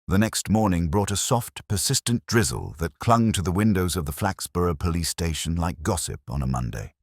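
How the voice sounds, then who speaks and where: Male story narrator, extremely deep pitch, expressive intonation, measured wry delivery, close dry sound. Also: dry recording. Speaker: audiobook narrator. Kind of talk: scripted mystery story narration.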